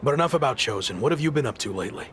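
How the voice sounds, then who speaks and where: A man's voice: mid-range voice, expressive, very fast, background noise, natural voice. A man playing a reluctant, easily annoyed young pro wrestler in video game cutscene dialogue. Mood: grudging.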